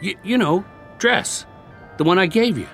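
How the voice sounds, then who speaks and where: Wry, animated American war survivor. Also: male voice, high voice, moderate pace, faint music behind. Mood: chatty, eager. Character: weary, wry male ex-soldier surviving after war. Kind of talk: radio drama acting.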